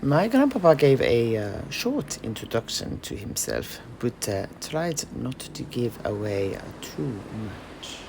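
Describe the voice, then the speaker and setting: A man telling an in-character fantasy story in a dramatic, measured and halting manner. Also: mid-range voice, big pitch swings, gravelly, darkish tone, slight background noise.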